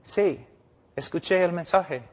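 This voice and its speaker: A man's voice: high-pitched, slow. A man voicing a big, frightened dying backwoods rancher in a preacher's story, with blunt short statements, in a reverberant hall. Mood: plain, resigned.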